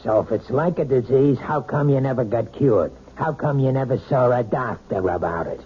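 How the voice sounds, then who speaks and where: An American man playing a surly, domineering, self-pitying husband in a 1970s radio drama, gruff and sarcastic. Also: medium pitch, moderate pace, warm tone, close to an everyday voice. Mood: bitter, bullying. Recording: muffled, noisy old radio broadcast.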